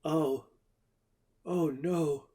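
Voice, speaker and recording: Man voicing a high, whiny young woman. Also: steady tone, very slow, warm tone, slightly rough, exaggerated voice. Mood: frightened. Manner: whiny, pleading. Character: eager, complaining young woman forager.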